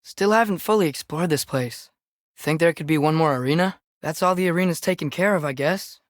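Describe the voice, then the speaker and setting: A man's voice: on the high side, brisk, no echo, studio quality, lightly acted. A young man playing an eager, earnest, curious teenage boy, a companion in a video game, fighting beside his father.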